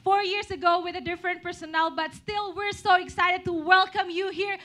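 A young woman hosting a live stage interview on a small venue stage, speaking into a handheld mic and cheering the band on excitedly. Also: very high-pitched, a little fast. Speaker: event host.

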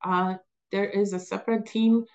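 A middle-aged woman with a Polish accent answering audience questions as a business advisor in a webinar, speaking haltingly with many fillers and restarts. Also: mid-range voice, fairly even intonation, a little mellow. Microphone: video call.

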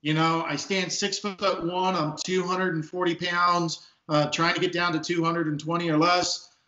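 A man in his fifties from Texas, a police sergeant, speaking as an interview guest in earnest, emphatic talk with a big booming voice, recorded on a video call from an office. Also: little pitch movement, moderate pace, pushed and bright. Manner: emphatic, repeats words when stressing a point. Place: office at a desk. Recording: echoey, dull video call audio.

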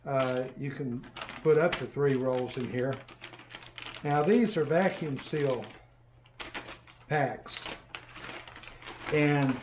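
Slow, plainspoken older man in a workshop. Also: on the high side, noisy background. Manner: unhurried, plainspoken, pauses with fillers while working. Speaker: workshop host. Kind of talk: casual how-to demonstration. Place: large workshop with shelves of printers, indoors.

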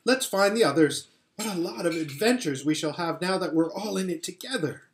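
A man voicing an excited, trusting little girl in a storybook read-aloud. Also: sits high, a little fast, voice pushed up. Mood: cheerful.

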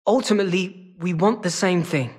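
A sly feline rogue from a video game. The voice sits fairly high for a male and has a husky rasp. He talks in a wry, casual, streetwise drawl, like a weary hustler who is always bargaining. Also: normal speed, darkish tone. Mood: weary, pleading. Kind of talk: video game character acting.

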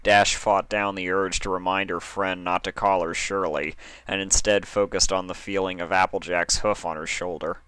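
A man's voice: sits low, little pitch movement, normal speed, few pauses, fairly bright tone, smooth, no echo. A man reading scripted fiction aloud as the narrator of a story.

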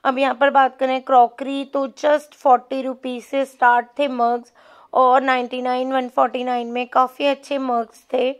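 High-pitched chatty woman. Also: little pitch movement, normal speed. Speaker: shopping vlogger. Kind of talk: casual vlog voice-over with English words mixed in.

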